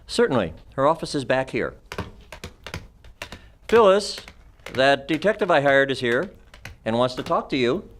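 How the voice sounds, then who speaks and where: A man's voice: medium pitch, very animated, measured pace, long pauses, a bit bright, slight background noise. A man acting a formal, self-important doctor in an old-time radio mystery. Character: formal, self-important male doctor, husband of gallery owner. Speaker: amateur radio club performer.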